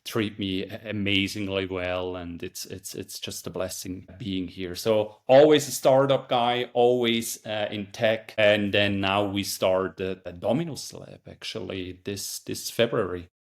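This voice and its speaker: A middle-aged man from Switzerland with a Swiss accent, a startup founder answering interview questions in an earnest, halting way with restarts. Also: fairly low pitch, steady tone. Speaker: podcast guest, startup founder. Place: California.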